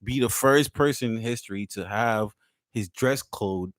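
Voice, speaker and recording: A man's voice: medium pitch, unhurried, dry recording. A man co-hosting a podcast in casual chat, laid-back and profane, laughing often. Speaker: podcast co-host.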